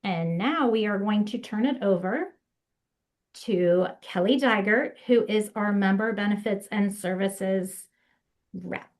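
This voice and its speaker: A woman presenting on a video call, reading prepared notes at an unhurried pace with occasional fillers. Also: mid-range voice. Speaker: association staff presenter. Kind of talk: webinar presentation.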